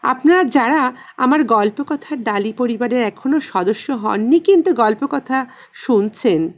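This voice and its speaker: A woman narrating a literary story expressively, in a measured way with dramatic pauses. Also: fairly high pitch, lively intonation. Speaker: audiobook narrator and channel host.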